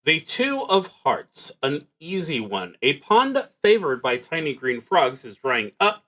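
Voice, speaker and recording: A man casually narrating his solo tabletop roleplaying game, haltingly thinking aloud and muttering to himself as he goes. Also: fairly high pitch, sing-song, sharp tone, a little hiss or hum.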